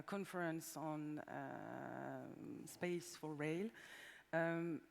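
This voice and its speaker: A woman with a fairly low voice moderates a conference panel and introduces the speakers. She hesitates often and fills pauses with "uh". Her voice carries a long hall reverb. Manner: hesitant, many fillers.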